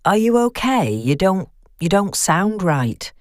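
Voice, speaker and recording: A woman plays a concerned female friend in an audio horror story. She speaks frankly and briskly, as if in a phone conversation. The sound is dry and close. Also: medium pitch, big pitch swings, darkish tone, no echo, pitched above the performer's own voice. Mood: puzzled, caring.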